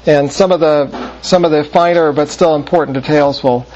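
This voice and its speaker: A man teaching a Bible class, reading scripture in a measured way and then lecturing with many fillers and restarts. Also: fairly high pitch, steady tone, a little mellow, lo-fi sound.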